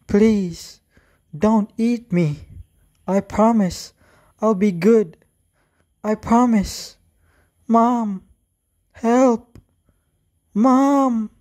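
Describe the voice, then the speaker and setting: Man playing slow, mellow boy Jack. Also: high voice. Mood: pleading. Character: mischievous, polite young boy Jack. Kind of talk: amateur radio drama acting.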